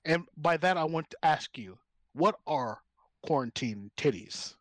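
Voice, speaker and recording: A man's voice: on the high side, lively intonation, a little slow, fairly bright tone, no room sound. A man co-hosting a casual comedy podcast, playful, laughing easily and sliding into mock rants. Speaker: podcast co-host.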